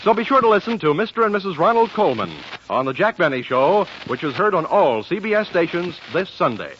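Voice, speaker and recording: An American male announcer from 1940s network radio, reading scripted promos and credits. His voice is bright and forceful with lively pitch swings, on a dull vintage recording. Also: fairly quick, a little hiss or hum.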